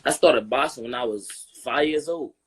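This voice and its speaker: A young man from Brooklyn with a New York accent, speaking as a boxer guest in a casual interview. His voice sits at a middling pitch. He talks in a relaxed, laid-back way, often checking in with "you feel me?". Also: normal speed, a little hiss or hum.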